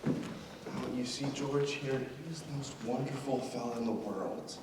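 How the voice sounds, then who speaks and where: An actor playing a gentle father who hushes and reassures his nervous daughter. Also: medium pitch, unhurried, heavy background noise, lightly acted. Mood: tender. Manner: soothing, hushing. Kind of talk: stage play acting. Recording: echoey hall from far off, with music.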